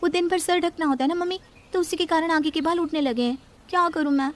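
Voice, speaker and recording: A woman playing a sweet, meek young daughter-in-law who complains gently in a cartoon story. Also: extremely high pitch, quick pace, very noisy. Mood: pleading. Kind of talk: animated cartoon story acting.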